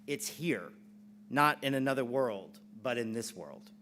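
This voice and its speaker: A man's voice: mid-range voice, animated, unhurried, sharp tone. A man playing Thomas, an earnest, devout disciple of Jesus, speaking in a declamatory, preacherly way in a church dramatic reading. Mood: reverent. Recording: clear background noise and hum.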